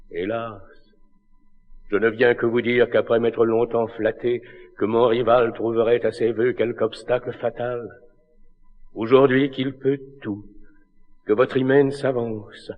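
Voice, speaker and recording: In a muffled recording with long hall reverb, a male actor plays a lovelorn, noble king of classical tragedy. He declaims solemn verse slowly and with anguish, in a rather low voice. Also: close to an everyday voice. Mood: impassioned. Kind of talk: classical tragedy stage acting.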